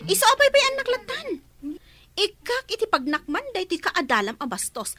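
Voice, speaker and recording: A woman's voice: very high voice, wildly swooping pitch, normal speed, sharp tone, exaggerated voice. A woman acting a spoiled, quarrelsome, whiny schoolgirl in an Ilocano radio drama. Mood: defiant, angry.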